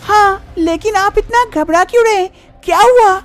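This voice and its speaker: A woman voicing both twin sisters in a cartoon story, a young wife and her scheming sister, lively and teasing. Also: very high voice, moderate pace. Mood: playful.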